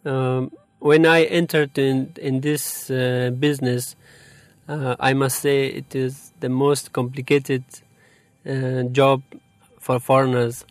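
A young man from Afghanistan, answering interview questions about his English in a halting, hesitant way. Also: mid-range voice, little pitch movement, no room sound. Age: young adult. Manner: hesitant, halting, many pauses.

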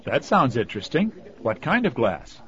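A man's voice: mid-range voice, huge pitch swings, moderate pace, a bit bright. A man playing a polite reporter interviewing people in a scripted language-course dialogue. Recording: dull lo-fi recording.

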